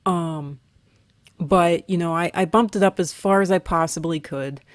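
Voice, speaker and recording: A woman narrating an art video in a casual voiceover, her voice still rough and weak from recovery, rambling and hesitant. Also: lowish voice, a little slow, dry recording, studio quality. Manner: rambling, hesitant, with fillers and restarts. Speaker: artist vlogger.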